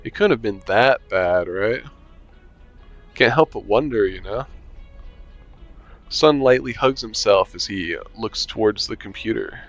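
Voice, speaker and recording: A man's voice: lowish voice, lively intonation, a little slow, pauses often, lo-fi sound, faint music behind. A man reading a visual novel aloud with commentary, making dry, sarcastic asides and swearing casually. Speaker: game streamer.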